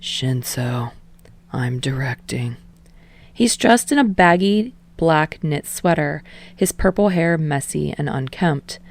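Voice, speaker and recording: A woman's voice: on the low side, a little slow. A woman reading fiction aloud as scripted audiobook narration.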